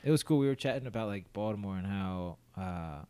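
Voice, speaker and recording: Mellow-voiced young man rambling through a story. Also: fairly low pitch, expressive, a little fast, clear, resonant tone. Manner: rambling storyteller, many fillers and restarts; laughs often, acts out his own quoted thoughts. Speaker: podcast co-host. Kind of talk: casual chat.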